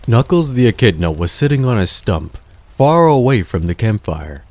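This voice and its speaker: A man narrating a scripted adventure story in a measured, dramatic storybook manner. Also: fairly low pitch, very animated, a little mellow, no room sound.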